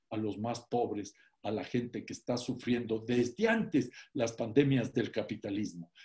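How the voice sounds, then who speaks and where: An older man from Ecuador with an Ecuadorian accent, giving an online lecture from a room at home. He speaks emphatically and deliberately, stacking lists and slowing on key words. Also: medium pitch, a little mellow. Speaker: economist giving an online talk. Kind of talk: public lecture. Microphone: laptop webcam on a video call.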